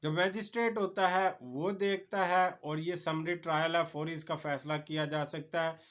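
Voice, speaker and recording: A man's voice: fairly high pitch, fairly even intonation, moderate pace, a bit bright. A man with a Pakistani accent giving an informal explanatory lecture as a lawyer, addressing the viewer directly and mixing in English legal terms.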